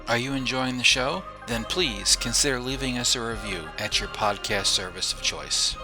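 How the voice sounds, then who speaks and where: A man reading scripted closing announcements as the host of an audio fiction show. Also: fairly low pitch. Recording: voice over prominent music.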